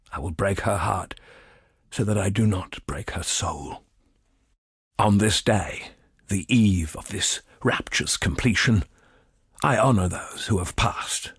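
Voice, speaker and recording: A grave imperial visionary for a video game. His low, breathy voice moves very slowly with theatrical grandeur. The sound is close and dry. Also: dry recording, full character voice. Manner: solemn, grandiose, theatrical, deliberate phrasing. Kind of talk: scripted video game monologue.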